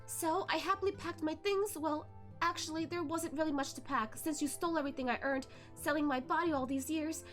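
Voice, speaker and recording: A woman plays a male ghost courtesan full of bitterness, pitched very high and pushed hard with drama. He mocks and accuses in a hateful, storybook-villain manner, over faint music. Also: fairly even intonation, a little fast, fairly bright tone. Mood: bitter. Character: bitter, vengeful androgynous male ghost, a former brothel courtesan.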